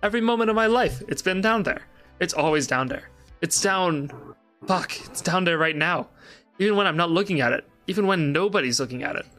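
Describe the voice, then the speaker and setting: A man's voice: sits high, animated, fairly quick, a bit bright, faint music behind. A man playing an obsessed, distressed interview subject in a dramatic reading, agitated and repetitive, with swearing.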